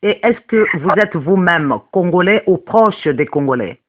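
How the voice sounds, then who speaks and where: Male interviewer, probing questions, tinny telephone line, somewhat high pitch. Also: expressive, measured pace. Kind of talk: journalistic questioning.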